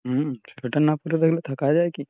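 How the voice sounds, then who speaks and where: A man's voice: fairly high pitch, steady tone, quick pace, dry recording. A man having a casual, private chat over the phone. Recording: tinny, narrow-band, dry phone call recording.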